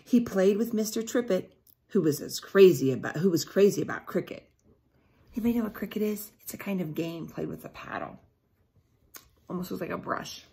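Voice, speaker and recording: Low-pitched woman reading to children. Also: animated, creaky, warm tone. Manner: deliberate storytelling, pausing to question the listeners. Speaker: teacher reading aloud to children. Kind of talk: picture-book read-aloud with asides to children. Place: small indoor room at home.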